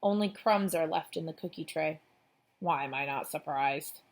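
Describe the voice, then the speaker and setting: A woman with a slightly low voice narrates a children's book aloud. Her delivery is expressive storybook reading. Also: moderate pace.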